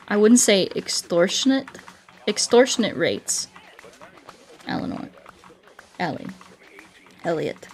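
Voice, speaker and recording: A woman's voice: mid-range voice, a little hiss or hum. A woman livestreaming a game, chatting casually and playfully with her viewers in a rambling way. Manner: playful, rambling, talks to chat. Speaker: game streamer. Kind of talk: casual livestream chat while playing a game. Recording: music behind the voice. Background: music.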